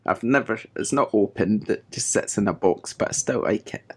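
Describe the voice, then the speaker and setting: A man with a head cold, his voice croaky, chatting loosely as a podcast host. He laughs at his own slip-ups and keeps things light. The sound is dry and close, with little room around it. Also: fairly low pitch, moderate pace, dry recording. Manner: chatty, self-mocking, laughs often. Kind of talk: casual chat.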